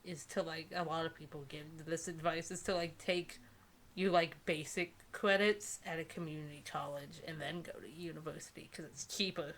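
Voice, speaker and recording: A woman's voice: sits low, fairly even intonation, normal speed, a bit bright, a little hiss or hum, rough recording. A woman co-hosting a comedic book show, mixing dramatic reading aloud with casual, sarcastic commentary.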